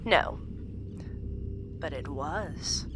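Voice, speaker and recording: An actress playing a sinister supernatural woman captor in a horror audio drama, calm, theatrical and mock-polite as she gloats and schemes. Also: low voice, drawn-out words, noisy background, full character voice. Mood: mocking, playful.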